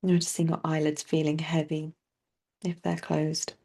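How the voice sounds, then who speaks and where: A woman leading a guided body scan meditation as a teacher, speaking softly and soothingly with long, unhurried pauses. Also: low voice, flat delivery, vocal fry.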